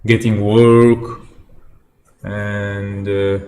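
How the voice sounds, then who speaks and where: Slow, halting Algerian man on a stream. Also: fairly low pitch, little pitch movement, mellow. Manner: halting non-native English, searching for words. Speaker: livestream host. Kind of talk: casual livestream chat with viewers.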